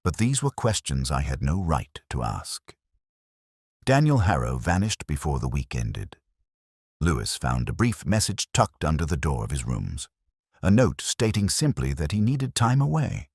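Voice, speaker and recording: A man playing a brooding, world-weary police inspector who narrates his case in solemn, measured, literary prose, like a melancholy detective audio story. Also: a bass voice, a little mellow, slightly rough, no echo, clean studio sound, full character voice. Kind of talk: scripted first-person detective story narration.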